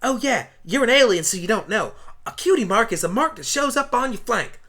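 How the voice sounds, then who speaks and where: A woman voicing an eager, excitable young farm pony with a folksy way of talking in a fan radio play. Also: a little high, fairly quick, voice pushed up. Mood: excited.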